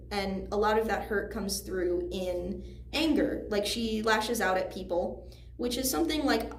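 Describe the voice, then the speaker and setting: A teenage girl, a student actor, giving earnest, reflective answers in a casual interview, often hedging as she goes. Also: mid-range voice. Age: teens, high school student. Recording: roomy echo, faint background noise.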